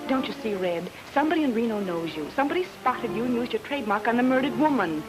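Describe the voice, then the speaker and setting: A woman's voice: fairly high pitch, expressive, fast, natural voice, poor audio. A woman acting a tough, loyal gangster's girl, pointed and hard-edged, in an old time radio noir drama. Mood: pleading, urgent. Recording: old radio recording, music underneath.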